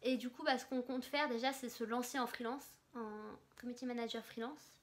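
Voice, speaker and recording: A woman's voice: a little high, fairly even intonation, a little fast. A young woman co-hosting a casual question-and-answer chat with a friend, talking freely and restarting her sentences. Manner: chatty, restarts sentences, laughs along. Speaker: co-host of a lifestyle blog channel.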